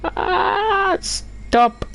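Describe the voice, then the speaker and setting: A man's voice: very high-pitched, lively intonation, extremely slow, vocal fry, fairly bright tone, slight background noise. A man casually chatting and rambling while streaming a train simulator game. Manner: chatty, rambling about football tables while driving. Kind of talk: casual gameplay commentary.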